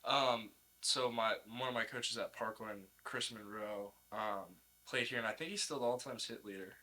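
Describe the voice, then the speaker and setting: A man's voice: medium pitch, moderate pace, sharp tone, rough recording. A young man chatting casually as a college athlete being interviewed, in informal, laid-back phrasing. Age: young adult. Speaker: college baseball player, podcast guest.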